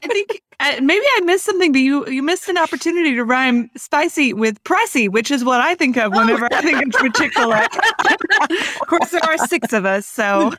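A woman's voice: high voice, expressive, fairly bright tone. A woman co-hosting a podcast in casual chat, giggling as she talks. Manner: giggly, quick reactions. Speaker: podcast co-host.

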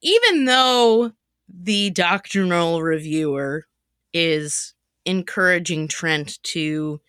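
A woman's voice: lowish voice, lively intonation, takes it slowly, a bit bright, clear, resonant tone, no echo, studio quality. A woman hosting a casual chat show and introducing comedy clips. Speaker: podcast host and sketch writer.

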